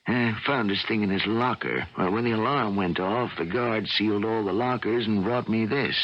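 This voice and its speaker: An American actor playing an earnest, puzzled laboratory colleague, with hesitant, expressive radio drama delivery. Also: male voice, fairly low pitch, moderate pace, natural voice. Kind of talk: 1980 radio drama acting. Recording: dull old radio broadcast, faint noise.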